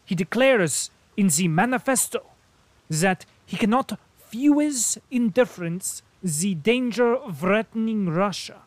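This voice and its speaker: A man reading aloud voices a stout, patriotic German colonel who declaims loudly and pompously. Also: high voice, dry recording, voice pushed up. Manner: loud, emphatic, pompous declaiming.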